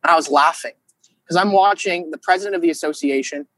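A man's voice: fairly high pitch, quick pace, a bit bright, no echo, poor audio. A Canadian man speaks as a podcast guest in loose, conversational political talk. He is emphatic and argumentative, slipping into imagined exchanges to make his case. The sound is dry, with very little room. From Quebec, Canada. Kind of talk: casual, opinionated political conversation.

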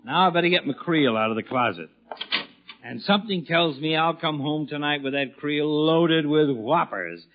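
A man's voice: on the high side, animated, moderate pace, noisy background. An actor playing an exasperated, grumbling middle-aged father in a 1940s radio comedy, sarcastic and blustering. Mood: flustered. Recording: dull lo-fi 1940s radio broadcast recording.

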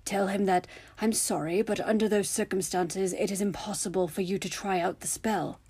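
A woman voicing a calm, apologetic male werewolf teacher in a storybook, gentle and placating, with a hoarse voice. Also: high-pitched, normal speed.

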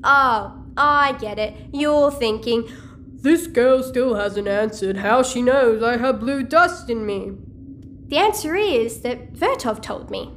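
A woman's voice: fairly high pitch, slow, a bit bright, a little hiss or hum. An actress playing a chatty, cheeky, nosy teenage girl in an audio sci-fi drama. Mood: cheeky, confident. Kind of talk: audio-fiction drama dialogue.

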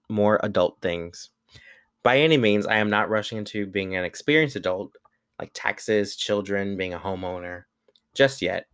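Deep-voiced man in his twenties. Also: dry recording, clean studio sound. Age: 20s. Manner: relaxed and candid, with frequent fillers and self-corrections. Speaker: vlogger. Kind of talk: reading his own essay aloud, then casual reflection.

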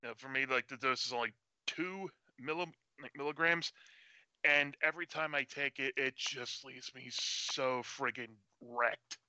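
Very bright, forceful man in his forties. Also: medium pitch, unhurried, clean tone, no room sound, rough recording. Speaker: show co-host. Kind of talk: casual chat.

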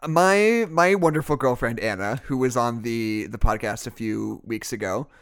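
A man's voice: mid-range voice, normal speed, fairly bright tone, clean tone. A young man hosting a podcast in a casual chat, rambling and joking at his own expense. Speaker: podcast host.

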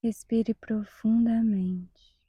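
A Brazilian woman guides a meditation in a soft, breathy half-whisper. Her pitch stays flat and her pace is slow, with calm, measured pauses. The sound is close and dry. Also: no echo, muffled recording.

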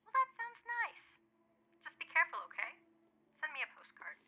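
A woman's voice: extremely high pitch, very animated, moderate pace. A woman playing an affectionate, teasing middle-aged mother in a radio play, heard through a telephone filter. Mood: warm, teasing. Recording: thin, sharp telephone call sound.

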